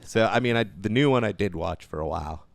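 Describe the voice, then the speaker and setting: Low, creaky-voiced man in casual chat. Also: brisk, no echo. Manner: informal, offhand, blunt. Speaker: podcast co-host. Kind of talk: casual chat, movie and game commentary.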